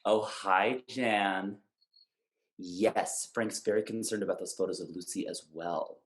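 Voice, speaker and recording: A man plays an office employee in a web comedy, taking a call. His voice is lowish and his phone manner is matter-of-fact. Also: steady tone, unhurried, natural voice. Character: production company employee named Guy answering phone.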